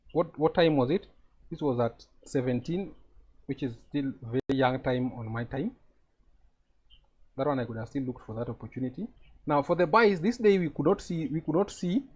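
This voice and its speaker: A man's voice: fairly high pitch, a little mellow, husky. A man with a Kenyan accent teaching a live trading lesson over a video call, explaining step by step and checking that his students follow.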